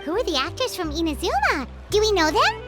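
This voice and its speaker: A woman's voice: huge pitch swings, a little fast, full character voice. A woman voicing a small, chirpy female fairy companion in a video game, curious and blunt, who speaks of herself in the third person. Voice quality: very high squeaky voice. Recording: game audio under music.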